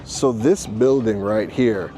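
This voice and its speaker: A man from Trinidad hosting a casual vlog, chatty and excitable, laughing often as he talks at a rooftop bar. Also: lowish voice, takes it slowly. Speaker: vlogger host. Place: busy rooftop bar and restaurant in a city.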